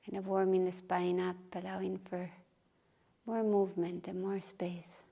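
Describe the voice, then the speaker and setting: A woman teaching meditation and gentle movement, guiding in short, unhurried phrases with pauses. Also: on the low side, little pitch movement, gravelly, husky. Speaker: taiji and qigong meditation teacher. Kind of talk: guided meditation and movement instruction. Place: retreat session, live group class.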